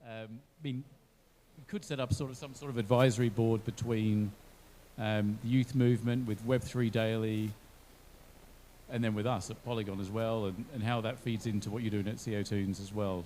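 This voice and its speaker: A man's voice: mid-range voice, fairly even intonation, a little fast, darkish tone, a little hiss or hum, low-quality recording. A man on a panel who thinks aloud as he talks, often restarting his sentences. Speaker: panelist from a blockchain company. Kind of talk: online panel discussion.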